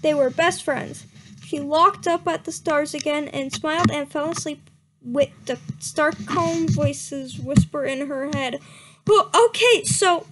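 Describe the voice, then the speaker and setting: A woman's voice: high voice, measured pace, noisy background. A young woman doing a comedic reading aloud, stumbling over words and breaking off for laughing asides and mock outrage. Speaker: reader. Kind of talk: comedic dramatic reading with commentary.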